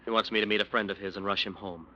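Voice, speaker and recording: An actor playing a smooth-talking, defensive lawyer in a 1940s radio drama. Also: male voice, on the low side, steady tone, rapid-fire, very noisy, close to an everyday voice. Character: defensive, self-justifying male lawyer.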